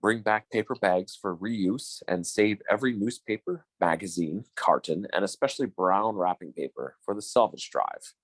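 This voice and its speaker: An earnest 1940s radio announcer with a lowish voice. His delivery is formal and instructive, and the sound is very dry. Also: moderate pace, hardly any pauses, dry recording, rough recording.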